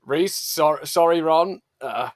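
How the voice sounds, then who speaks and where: A man's voice: on the high side, slow. A man improvising in a tabletop role-playing game, hesitant and mock-earnest. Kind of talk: improvised role-play in character as an actor.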